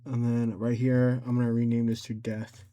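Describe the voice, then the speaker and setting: A man talking casually and unscripted through a screen-recorded tutorial, halting often, repeating his instructions and correcting himself. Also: medium pitch, completely flat delivery, warm tone. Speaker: tutorial creator.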